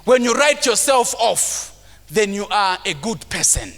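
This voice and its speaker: A man gives a motivational talk at a conference, preachy and sometimes shouting his points. His voice sits very high and sounds bright and forceful, with a mild stammer he works around. It rings out with the long reverb of a big hall. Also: sing-song, unhurried. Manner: preachy, rising to shouts for emphasis; acts out timid voices of self-doubting people. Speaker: motivational speaker. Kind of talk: motivational talk to a live audience.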